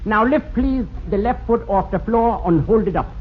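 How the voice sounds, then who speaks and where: A man playing an excitable, pompous German specialist doctor with a German accent in an old radio comedy, talking in exclamations and backwards word order. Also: sits high, normal speed, lightly acted. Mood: excited, bossy. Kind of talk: 1950s radio comedy acting. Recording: hissy, muffled 1950s radio recording.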